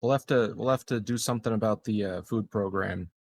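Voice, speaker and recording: A man playing a tabletop roleplaying game, bantering casually in character in a deadpan, rambling way. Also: lowish voice, brisk.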